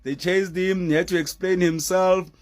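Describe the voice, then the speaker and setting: A man giving casual, animated commentary on a news story, laughing often and using many fillers. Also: sits high, normal speed.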